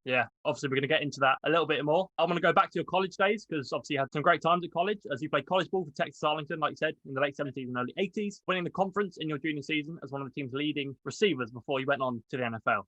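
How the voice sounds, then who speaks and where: Brisk male podcast host with long, eager questions and a dry, close mic sound. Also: a little high, fairly bright tone, dry recording, low-quality recording. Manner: quick, upbeat delivery with long question setups. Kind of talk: scripted intro, then interview questions.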